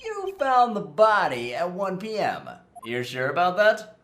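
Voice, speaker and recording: A woman's voice: on the low side, huge pitch swings, unhurried, a bit bright, slight background noise. A woman doing playful, sarcastic comedic gameplay commentary while dubbing a game. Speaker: let's play host voicing the rookie lawyer.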